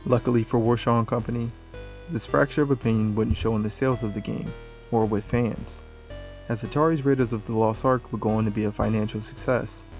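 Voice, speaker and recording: A man's voice: on the low side, fairly even intonation, brisk, a little mellow, soft background music. A man reads scripted documentary narration for a video essay, speaking as a voice-over.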